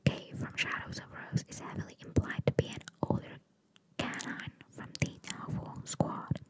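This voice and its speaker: A woman speaks entirely in a soft, breathy whisper with no voiced tone. She delivers ASMR in an informal, chatty way, moving between reading aloud and casual asides. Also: rough recording. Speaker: ASMR creator.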